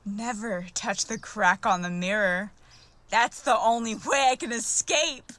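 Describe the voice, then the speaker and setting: A woman's voice: on the high side, unhurried, fairly bright tone, no room sound. A woman playing a taunting, playful evil female spirit that possesses people, gloating and teasing in an audio horror drama.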